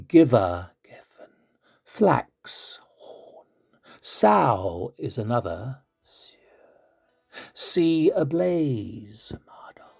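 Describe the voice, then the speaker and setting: A man from London with an English accent, reciting poetry as a deliberate storyteller with weighted pauses. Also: lowish voice, vocal fry, darkish tone. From London, England. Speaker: poet and actor.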